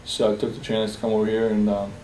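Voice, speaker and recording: A young man from Bosnia talks in a personal interview, pausing often on fillers and restarting his sentences. His voice is mellow and low-key. He sits at a distance from the mic in an echoey room with a faint hiss behind him. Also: completely flat delivery. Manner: halting, many fillers and restarts.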